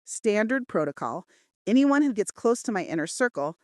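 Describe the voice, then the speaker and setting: A brooding, controlling male mafia boss, as a woman narrator puts him on in a romance audiobook. He speaks tersely and commands every exchange. The pitch swings widely and the recording is dry. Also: big pitch swings, moderate pace, a little mellow, no echo, natural voice. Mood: probing, guarded. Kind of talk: dramatic romance audiobook dialogue.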